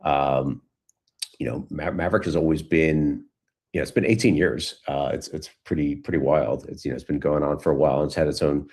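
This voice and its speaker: Male interview guest, middle-aged, deep voice, casual storyteller, loose and rambling delivery with frequent restarts. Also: fairly even intonation, fairly quick, a little mellow, breathy edge. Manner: rambling, restarts phrases often.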